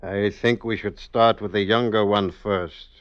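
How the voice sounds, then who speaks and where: Very deep, sly stonemason played by a man. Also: fairly even intonation, smooth, no room sound, soft background music, natural voice. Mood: cold, plotting. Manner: slow, folksy old-country speech, coaxing. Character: scheming male stonemason, sly, coaxing poisoner. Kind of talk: old-time radio drama acting. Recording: muffled, noisy vintage radio recording.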